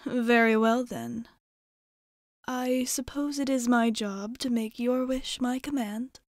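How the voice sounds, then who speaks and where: A woman playing a poised, worldly female vampire bartender in an ASMR roleplay, speaking in a measured, formal, teasing way with whispery breathiness. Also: fairly high pitch, a little mellow, dry recording, clean studio sound. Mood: teasing, coaxing.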